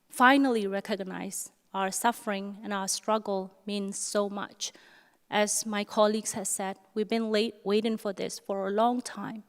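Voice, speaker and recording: Smooth, slow-speaking woman in an echoey hall. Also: medium pitch. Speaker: activist panel speaker. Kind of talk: formal thanks and testimony at an event.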